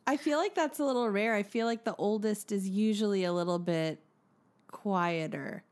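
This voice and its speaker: A woman co-hosting a podcast, asking her guest questions in a chatty, unhurried way. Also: medium pitch, clean tone. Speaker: podcast co-host. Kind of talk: casual podcast chat.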